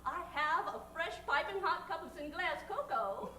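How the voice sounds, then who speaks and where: A woman's voice: extremely high pitch, lively intonation, moderate pace, pushed and bright, recorded from a distance, full character voice. A woman playing a doting, self-sacrificing wartime mother in a parody 1940s radio commercial. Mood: cheerful, doting. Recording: roomy stage sound, off-mic.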